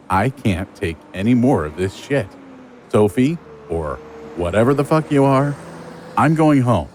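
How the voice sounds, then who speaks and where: An actor playing a world-weary, fed-up investigator, bitter and halting, in a horror audio drama. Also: male voice, fairly low pitch, animated, a little mellow, a little hiss or hum.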